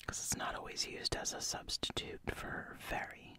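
An ASMR host in a breathy, unvoiced whisper, softly explaining slang with hesitant pauses and repeated words.

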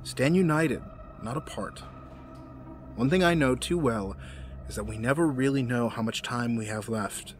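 An actor playing a middle-aged businessman villain in an audio drama, formal, measured and condescending. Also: medium pitch, soft background music, natural voice. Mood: veiled menace.

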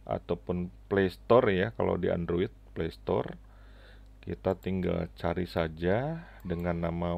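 Casting: middle-aged man, tutorial presenter, very gravelly and unhurried, halting phrasing, slightly muffled headset sound. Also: low voice. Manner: halting, short phrases with frequent tag words. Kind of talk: unscripted app tutorial.

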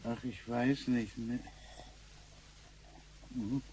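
An actor playing an older, apologetic, hesitant grandfather with a husky voice in a radio play. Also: medium pitch, fairly even intonation, drawn-out words, noisy background. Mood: resigned, hesitant. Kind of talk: German radio play acting.

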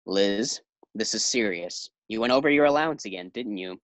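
A man's voice: fairly low pitch, lively intonation, normal speed, dry recording, lightly acted. A man acting a sensible, exasperated husband in a 1940s-style radio sitcom. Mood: stern.

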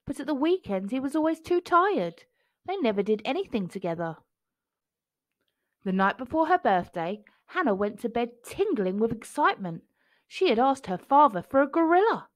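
A woman narrating a children's picture book expressively, phrasing clearly for young listeners. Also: a little high, big pitch swings, normal speed, dry recording.

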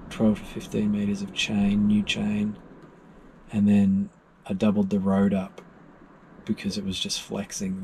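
Exhausted man with a gravelly low voice. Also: warm tone, a little hiss or hum, poor audio. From Australia. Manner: rambling, many ums and restarts. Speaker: solo sailor vlogger. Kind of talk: tired casual recap to camera. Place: cramped cabin of a small wooden boat at anchor. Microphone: camera close in front, lying down.

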